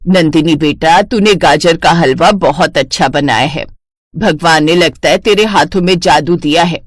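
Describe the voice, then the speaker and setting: A woman's voice: deep voice, a little slow, no echo, studio quality, pitched below the performer's own voice. A woman voicing a complaining older mother-in-law in a cartoon family story. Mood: gushing. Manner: complaining, nagging. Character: scolding older mother-in-law, grudging and quick to praise others.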